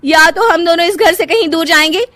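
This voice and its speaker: A woman's voice: very high-pitched, a little fast, pushed and bright, pitched above the performer's own voice. A woman voicing a spoiled, scheming elder sister-in-law in a cartoon drama, haughty, whiny and demanding.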